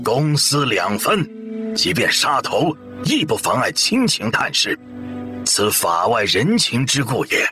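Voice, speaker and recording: A man's voice: mid-range voice, very animated, measured pace, music in the background. A man playing a courteous village squire of ancient China, speaking formal, old-fashioned lines in an audio drama. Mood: composed, deferential. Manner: formal archaic speech, sighing exclamations.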